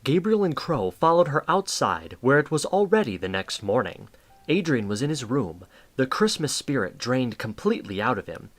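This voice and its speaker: Lively male narrator with varied intonation. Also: mid-range voice, moderate pace. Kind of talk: scripted story narration.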